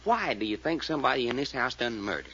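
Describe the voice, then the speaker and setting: Male actor as grumbling Southern sidekick, exasperated drawl, lively intonation, old-time radio serial, noisy vintage recording. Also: mid-range voice, fast, lightly acted. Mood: doubtful. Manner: folksy, drawling dialect, questioning, exasperated. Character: excitable, folksy, grumbling male sidekick detective. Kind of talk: 1940s radio serial acting.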